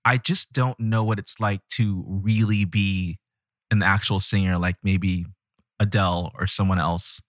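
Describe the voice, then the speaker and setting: A man's voice: sits low, steady tone, unhurried, no echo, clean studio sound. A man hosting a podcast who chats in an easygoing way and asks curious, rambling questions. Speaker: podcast host. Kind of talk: casual podcast conversation.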